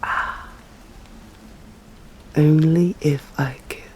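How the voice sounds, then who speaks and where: A woman with an English accent voicing a stern, proud female vampire in an ASMR roleplay, speaking formally and deliberately and often slipping into whispers. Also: sits low, fairly even intonation, darkish tone, husky, heavily acted. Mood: intrigued, challenging. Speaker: voice actor. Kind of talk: ASMR roleplay, scripted dramatic monologue.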